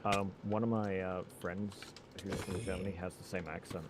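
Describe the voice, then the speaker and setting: Casting: deep-voiced male gamer, halting, wry delivery, casual chat, clearly noisy mic feed. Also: level tone. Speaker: gamer playing Minecraft with friends. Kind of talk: casual group game chat.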